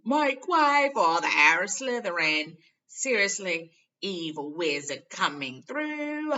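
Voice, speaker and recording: A woman voicing a gleefully mocking teenage prankster boy in a storybook reading, announcing things loudly. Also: sits high, sing-song, slow, far from the mic, highs cut off, voice pushed up, full character voice. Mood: mock proclaiming. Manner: loud mock announcing. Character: teenage prankster wizard boys, loud, teasing, gleefully mocking.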